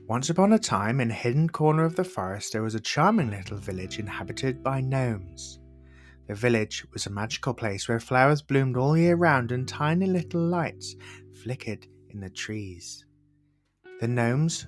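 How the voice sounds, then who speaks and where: A male storyteller reads a scripted bedtime tale for children at a middling pitch. Faint plucked guitar music plays behind his narration.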